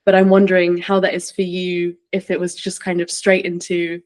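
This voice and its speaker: Woman podcast host, casual chatty interview, slightly low voice, pretty even tone. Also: normal speed. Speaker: podcast host, yoga teacher.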